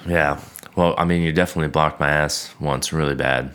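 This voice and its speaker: Very deep-voiced man hosting a casual podcast. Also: steady tone, a little fast, a bit bright, clear, resonant tone. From Washington, D.C. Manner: easygoing, rambling, self-correcting. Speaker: podcast host. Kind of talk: casual chat.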